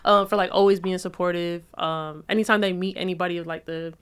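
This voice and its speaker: A woman in her late twenties co-hosting a podcast, speaking in a relaxed, thoughtful way with frequent "um" and "mm-hmm.". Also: lowish voice, fairly quick, smooth. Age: 20s. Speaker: podcast co-host. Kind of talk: casual chat.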